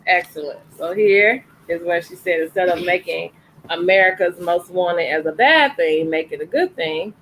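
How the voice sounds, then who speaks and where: A woman's voice: on the low side, moderate pace, a bit bright, slight background noise, rough recording. A woman hosting an online story time, recapping each page in a casual, encouraging way. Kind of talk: casual read-aloud with commentary.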